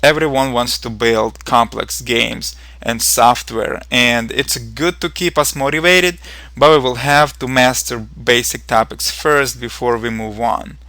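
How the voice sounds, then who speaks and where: A man's voice: mid-range voice, steady tone, a little slow, bright, forceful tone, no echo. A young man from Ukraine with a Ukrainian accent, earnestly narrating a programming tutorial with frequent ums and uhs.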